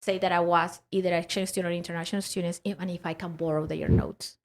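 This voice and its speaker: Animated woman with a Peruvian accent. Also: on the low side, fast talker, background noise. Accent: Peruvian Spanish. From Peru. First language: Spanish. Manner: animated, laughs often, lively storytelling. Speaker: guest. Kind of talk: casual storytelling interview.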